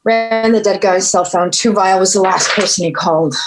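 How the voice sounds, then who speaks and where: A blunt police detective played by a woman in a TV table read. She is terse, direct and commanding, speaking at an average pitch. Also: normal speed, music in the background, natural voice. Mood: probing.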